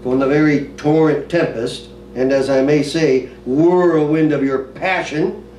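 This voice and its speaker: A man playing an earnest, brooding prince, reciting a Shakespearean monologue in a declamatory way with weighty pauses. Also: on the high side, fairly even intonation, a little mellow, voice pushed down, lightly acted. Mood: earnest, impassioned. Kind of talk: dramatic Shakespearean stage monologue. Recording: roomy, distant mic, faint noise.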